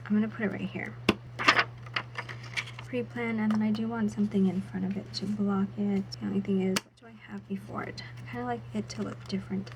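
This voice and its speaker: Soft-spoken woman with a slightly sore throat. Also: mid-range voice, noisy background. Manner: soft, half-whispered narration of each step. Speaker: planner vlogger. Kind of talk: casual voice-over while setting up a planner.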